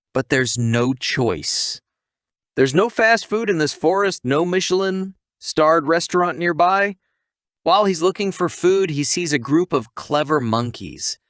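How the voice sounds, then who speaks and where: Slow, animated male storytelling narrator. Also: on the high side, no echo, studio quality. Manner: playful, theatrical, addresses listeners directly. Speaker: narrator of an anime recap. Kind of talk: humorous scripted storytelling narration.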